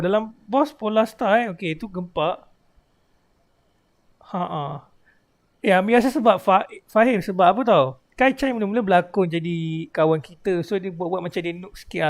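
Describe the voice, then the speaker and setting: A man's voice: high voice, brisk. A Malaysian man chatting casually in slangy, code-switching live game commentary. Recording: game music behind the voice. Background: game music.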